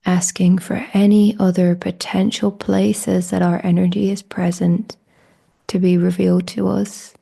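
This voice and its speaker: A woman leading a guided meditation in a hushed, breathy voice that often drops close to a whisper, with slow, gentle invitations. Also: fairly low pitch, flat delivery.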